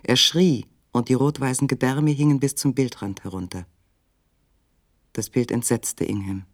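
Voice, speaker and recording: A male actor as a detached literary narrator of a suspense radio play. His mid-range voice is measured and matter-of-fact, recorded very dry and close. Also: medium pitch, no echo, natural voice. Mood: sober, detached. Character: sober, detached literary storyteller of a suspense tale.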